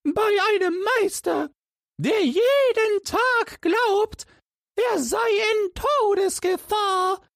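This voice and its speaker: A man's voice: very high-pitched, very slow, creaky, a bit bright, no echo, studio quality, voice pushed up, heavily acted. A man voicing three tiny, grumpy red men from a fairy tale, scolding shrilly and indignantly.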